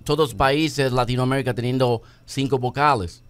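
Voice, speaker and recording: Man in halting, English-mixed Spanish. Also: mid-range voice, steady tone, clean tone. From United States. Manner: halting Spanish, mixing in English, many pauses. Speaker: guest singer. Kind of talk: casual radio interview. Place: radio studio. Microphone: studio mic on boom.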